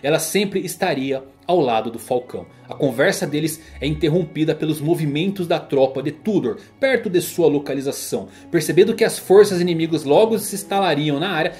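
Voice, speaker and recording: Brazilian man narrating over music, higher-pitched voice. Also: a little mellow. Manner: solemn, theatrical storytelling. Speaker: YouTube anime and manga narrator. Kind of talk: scripted dramatic story narration. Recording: music under the voice. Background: background music.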